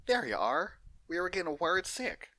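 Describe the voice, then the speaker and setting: A worried cartoon farm pony sister, performed by a man, pitched above his own voice. She speaks up loudly and insistently, demanding answers in a bright, forceful tone. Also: very animated, normal speed, full character voice. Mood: concerned greeting.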